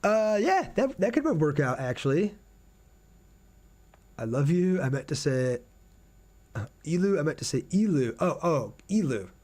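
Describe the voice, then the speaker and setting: A man's voice: fairly high pitch, big pitch swings, measured pace, pauses often, darkish tone. Casual male game streamer, chatting along. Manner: chatty, thinks aloud, reads messages out. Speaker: game streamer reading viewer chat. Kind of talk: casual live gameplay commentary.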